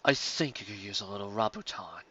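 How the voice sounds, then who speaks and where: A fussy, scholarly pony critic, acted out by a man for a storybook. He speaks softly and gravely, weighing each remark as a serious matter. The recording is somewhat dull. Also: fairly low pitch, lively intonation, fairly quick, no room sound, pitched below the performer's own voice, exaggerated voice. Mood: grave, critical.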